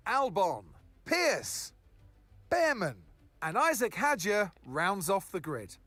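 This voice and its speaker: Male race commentator, broadcaster delivery, lively pitch, dry studio sound. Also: no echo. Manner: brisk broadcaster delivery, listing names. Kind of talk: scripted motorsport commentary.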